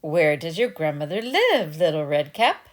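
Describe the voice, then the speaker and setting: A wicked storybook wolf, played by a woman reading aloud, smooth-talking and cunning. He coaxes his prey with very animated, swooping intonation. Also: deep voice, moderate pace. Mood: coaxing.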